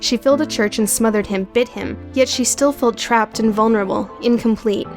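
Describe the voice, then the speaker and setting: A woman's voice: a little high, brisk. A woman reading scripted narration for an audio book. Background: music.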